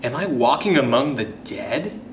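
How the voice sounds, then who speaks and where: A man's voice: mid-range voice, measured pace. A scared, melodramatic kid from a spooky storybook, acted out by a man. The voice rings in an echoey room with faint noise behind it. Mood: flat, entranced. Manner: melodramatic, wailing or stiffly flat. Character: frightened child turning to stone in a graveyard. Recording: roomy echo, faint noise.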